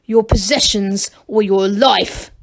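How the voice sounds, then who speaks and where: A woman's voice: medium pitch, takes it slowly. A woman voicing a blustering, half-hearted male gnome bandit chief in a storybook. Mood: menacing.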